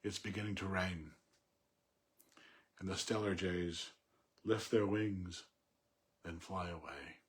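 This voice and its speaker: A man reading poetry and commenting on it informally in a measured, thoughtful way. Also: low voice. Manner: halting, thinking aloud with self-corrections. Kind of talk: poem recitation and informal unscripted commentary.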